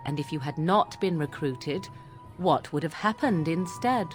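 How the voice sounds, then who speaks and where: A woman's voice: deep voice, expressive, unhurried, music in the background. An actress playing a cool, sardonic witch who makes dry, cutting remarks in a video game.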